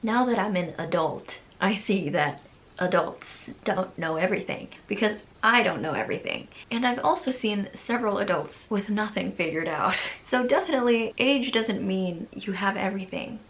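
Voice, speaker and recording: Gravelly anxious young woman vlogging. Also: mid-range voice, expressive, moderate pace, a bit bright, a little hiss or hum, poor audio. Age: 20s. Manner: anxious, rambling, laughs often. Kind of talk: casual confessional vlog.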